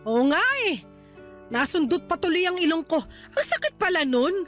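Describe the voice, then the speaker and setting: A woman's voice: very high voice, expressive, moderate pace, soft background music. A woman playing a stubborn, touchy but fond elderly mother who bickers and complains in a Tagalog radio drama. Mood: grudging. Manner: feisty, complaining, bantering.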